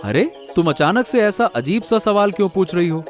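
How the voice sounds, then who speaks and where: A man voicing an earnest, sentimental village family man in an animated moral story. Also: sits high, moderate pace, faint music behind. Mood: proud, tender.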